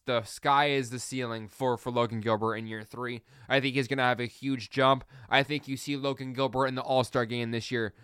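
A man hosting a solo sports podcast, talking fast and excitably with frequent restarts. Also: medium pitch, clear, resonant tone, dry recording, studio quality. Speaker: podcast host.

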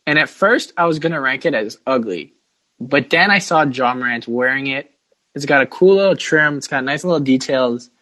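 A man with a mid-pitched voice hosts a casual sports podcast. He banters and teases playfully as he keeps things moving. The sound is dry, with very little room in it. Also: lively intonation, moderate pace, pushed and bright, no room sound. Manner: playful teasing. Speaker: podcast host.

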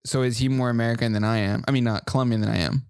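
A man's voice: medium pitch, fairly even intonation, racing, a little mellow, clear, resonant tone, no room sound, studio quality. A young man co-hosting a casual podcast with his partner, blunt and joking. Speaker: podcast co-host. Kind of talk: casual couple chat.

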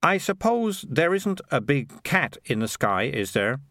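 A man's voice: medium pitch, sing-song, a little slow, bright, forceful tone, no echo, clean studio sound, pitched above the performer's own voice, full character voice. A man voicing Morris, a cynical streetwise male cat who talks in a wry, grumbling, sarcastic way.

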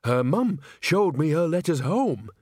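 A man's voice: fairly high pitch, sing-song, a little slow, a little mellow, husky, no room sound, clean studio sound, pitched above the performer's own voice, heavily acted. A man voicing a cheerful, sly old village witch and mother, chatty and folksy, in a storybook reading. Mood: concerned.

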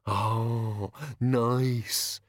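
A man's voice: mid-range voice, level tone, drawn-out words, no echo, clean studio sound. A man voicing a whining, pleading bogeyman monster for an audiobook. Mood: sheepish. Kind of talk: audiobook character voice.

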